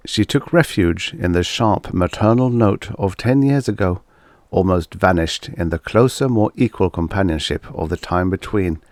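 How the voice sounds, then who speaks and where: A man narrating a vintage science fiction story as an audiobook reader, in a dramatic, expressive storytelling manner. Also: low voice, moderate pace, dry recording, clean studio sound.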